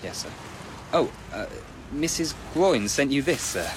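Earnest young constable, lively and polite. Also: male voice, mid-range voice, measured pace, noisy background. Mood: politely concerned. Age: young adult. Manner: deferential, formal, precise. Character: earnest, polite young police constable narrating his own worries. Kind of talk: radio comedy drama, first-person narration.

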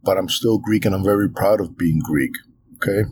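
A New man hosts his own podcast in a casual, rambling monologue, leaning on fillers as he talks with earnest passion. His voice sits very low and has a husky, slightly rough grain. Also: moderate pace, darkish tone. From New York, USA. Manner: passionate fan talk. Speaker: podcast host.

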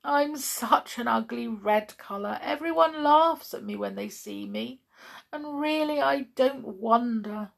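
A woman's voice: on the high side, sing-song, moderate pace, vocal fry, darkish tone, breathy edge, pitched above the performer's own voice, full character voice. A woman voicing a sad, self-pitying but eager young dog in a children's story, lamenting and yelping plaintively.

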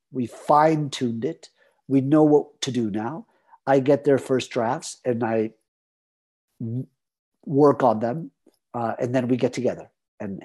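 A man whose first language is Spanish talks in English with a Latin American accent. His voice is warm and a little breathy, and he speaks reflectively, stopping often to restart his sentences. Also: mid-range voice. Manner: halting, with many fillers and repeated restarts. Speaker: author answering an interviewer. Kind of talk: reflective conversational talk.

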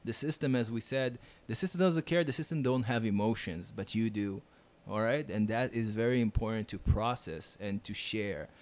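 A man's voice: lowish voice, moderate pace, a little mellow. A man from Israel, speaking earnestly as a podcast guest, repeating phrases for emphasis. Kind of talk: casual chat.

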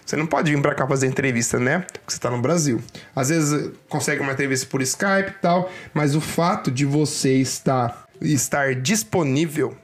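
A man's voice: medium pitch, normal speed. A young Brazilian man, talking straight to viewers in casual, slangy Brazilian Portuguese and giving career advice.

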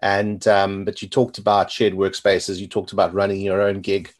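Podcast host: middle-aged man, lowish voice, quick talker, rambles through questions. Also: flat delivery. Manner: fast, rambling questions with repeated "you know". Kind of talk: interview questions.

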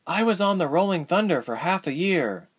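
A man's voice: high-pitched, lively intonation, normal speed, breathy edge, voice pushed up. A man voicing a captive young woman in an audiobook story, earnest and bitter, with a dry, incredulous manner. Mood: puzzled, anxious.

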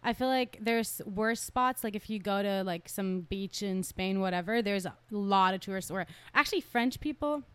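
A woman's voice: mid-range voice, normal speed, hardly any pauses, fairly bright tone, smooth, no echo. A young woman from Germany with a German accent, a podcast guest chatting casually, joking, swearing and laughing often. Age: young adult. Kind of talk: casual chat.

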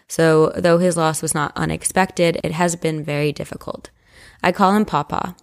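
A woman's voice: sits low, vocal fry. A woman co-hosting a podcast, chatting casually and reading listener stories aloud. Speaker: podcast co-host.

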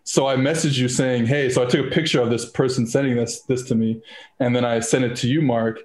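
A man's voice: medium pitch, steady tone, fairly quick, a little mellow, echoey room, rough recording. A man hosting a podcast, rambling and cracking awkward jokes in casual chat. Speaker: podcast host.

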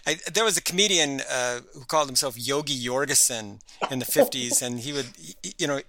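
Bright-voiced man in casual podcast chat. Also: medium pitch, a little fast, slight background noise. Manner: chatty, frequent restarts and laughs. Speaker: podcast co-host.

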